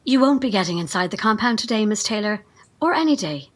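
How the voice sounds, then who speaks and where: A woman's voice: medium pitch, expressive, fairly quick, close to an everyday voice. A woman playing a cold, officious gatekeeper in an audio drama, formal, curt and dismissive in her delivery. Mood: coldly dismissive. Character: icy, officious female gatekeeper of a secretive compound. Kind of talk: naturalistic audio drama acting.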